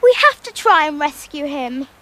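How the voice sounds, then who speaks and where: A woman's voice: sits high, very animated, moderate pace, pushed and bright, heavily acted. An actress playing an earnest, kind-hearted little British schoolgirl in a radio drama. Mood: distressed, pleading.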